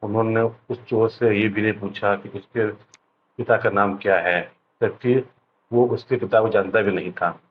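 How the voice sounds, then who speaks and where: Man playing low, even-toned village headman. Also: narrow, tinny audio, a little hiss or hum, lightly acted. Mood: calm, earnest. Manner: measured, explanatory, moralizing. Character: village headman, calm, earnest, fair-minded leader. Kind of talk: Hindi children's cartoon dialogue, speech to villagers.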